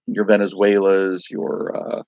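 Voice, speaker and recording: A man with a raspy voice from a night of singing, hosting a casual crypto livestream, rambling loosely and greeting viewers by name. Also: low-pitched, monotone, unhurried, darkish tone, no room sound, highs cut off. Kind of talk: casual live-stream chat.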